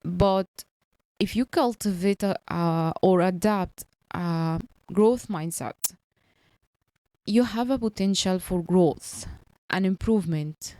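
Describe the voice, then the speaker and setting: A woman's voice: lowish voice, slow, mellow, no room sound, poor audio. A woman in her early thirties, a non-native English speaker, giving an earnest motivational talk and repeating her points. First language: not English. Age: early 30s. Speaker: motivational vlogger.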